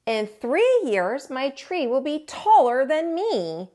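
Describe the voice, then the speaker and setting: A knowing little beaver girl from a picture book, played by a woman reading aloud. Her voice perks up high and bobs along as she proudly sets others straight in a matter-of-fact way. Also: big pitch swings, slow pace, warm tone, exaggerated voice.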